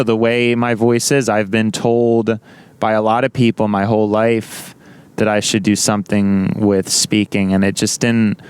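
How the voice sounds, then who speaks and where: Loud rambling young man, very clear. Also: medium pitch. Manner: rambling, self-correcting, excitable. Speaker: vlogger. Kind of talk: casual rambling monologue.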